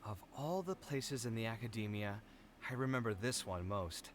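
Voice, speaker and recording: An actor playing a friendly, wistful young architect in a video game, speaking in an articulate, theatrical way. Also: male voice, fairly low pitch, lively intonation, normal speed, slight background noise, lightly acted. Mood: nostalgic. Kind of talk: video game character dialogue.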